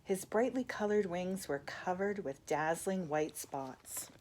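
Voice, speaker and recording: An older woman reading a children's storybook aloud in an expressive way, as a grandmother would to young listeners. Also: lowish voice, slow, slight background noise. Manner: expressive, asks the listeners questions.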